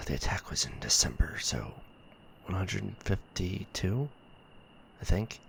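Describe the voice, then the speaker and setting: Man acting as very deep, gravelly, weary mech pilot. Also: very animated, slight background noise, exaggerated voice. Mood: tired, confused. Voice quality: very deep, gravelly, breathy murmur. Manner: low muttering with sighs and hesitant pauses. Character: weary, bitter male stranded mech warrior soldier. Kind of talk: sci-fi ASMR roleplay audio log monologue.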